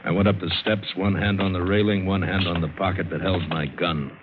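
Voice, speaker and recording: A man's voice: low voice, steady tone, a little fast, natural voice. An American actor playing a tough, wry seaman who tells his adventure in vintage radio drama style. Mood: wary, brooding. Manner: hard-boiled, wry, dramatic. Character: tough, wary, wisecracking seaman and adventurer telling his tale. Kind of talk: 1950s radio drama acting with first-person narration. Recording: scratchy, hissy narrowband old radio recording.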